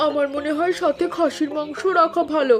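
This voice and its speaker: A helpful neighbour woman from an animated village tale, acted by a woman. Her voice sits high and sounds friendly. Music runs underneath. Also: fairly quick, lightly acted. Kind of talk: cartoon voice acting.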